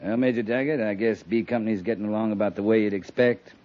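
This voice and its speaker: A man's voice: on the low side, steady tone, fast, natural voice. An American man playing a weary, dutiful cavalry captain, respectful but blunt, in a 1950s radio drama. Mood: dry, respectful. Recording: muffled, noisy 1950s radio recording.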